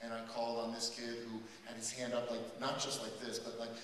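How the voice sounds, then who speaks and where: A man performing spoken-word poetry for students, dramatic, swinging between loud emphasis and hushed lines. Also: mid-range voice, monotone, fairly quick, background noise. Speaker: performance poet. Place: large school hall with a live audience. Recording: echoey hall recorded from far off.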